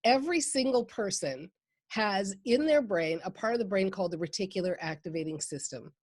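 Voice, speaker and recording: A woman's voice: medium pitch, a little mellow, husky, no room sound. Upbeat minnesota lady on a podcast, laughs a lot, mid voice, dry sound. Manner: enthusiastic, laughs often, plainspoken. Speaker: guest, mindset coach. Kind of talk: podcast interview.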